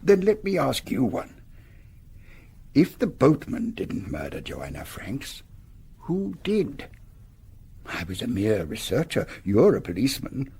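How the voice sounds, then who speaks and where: An actor playing a cryptic old colonel in a radio drama, with a deliberate, teasing manner. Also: male voice, medium pitch, wildly swooping pitch, dark tone, slightly rough, heavily acted. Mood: teasing, challenging. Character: cryptic old colonel, an amateur researcher of crimes.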